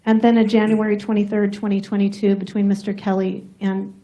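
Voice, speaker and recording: A soft-spoken woman prosecutor questioning a witness in a courtroom, measured and methodical. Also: medium pitch, level tone, low-quality recording. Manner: measured, formal, methodical questions with some fillers.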